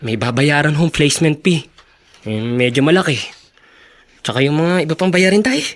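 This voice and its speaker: Man playing a proud adult son, evasive, respectful, slightly high voice, Filipino radio drama. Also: lively intonation, normal speed, close to an everyday voice. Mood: hesitant, sheepish.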